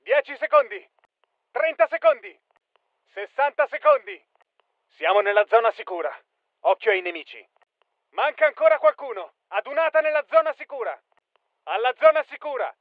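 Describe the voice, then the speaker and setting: High-pitched, urgent soldier on radio. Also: male voice, expressive, normal speed, long pauses, no echo, narrow, tinny audio. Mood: focused, businesslike. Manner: clipped, commanding, urgent. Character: tough male soldier barking battlefield callouts. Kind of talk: military shooter game voice lines.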